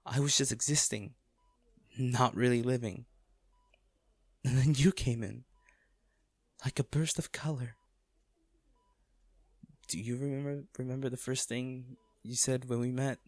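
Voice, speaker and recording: A man acting an anguished wealthy businessman in an intimate audio drama, speaking haltingly and emotionally to a comatose lover, with a gravelly voice. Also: mid-range voice, fairly even intonation, darkish tone, no echo. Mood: nostalgic, sorrowful. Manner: halting, emotional, with sighs and repeated words.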